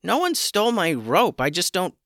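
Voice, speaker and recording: A man's voice: high voice, huge pitch swings, normal speed, a bit bright, no echo, clean studio sound, voice pushed up, full character voice. Man putting on a wry talking chicken. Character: talking male chicken, awkward, dry joker.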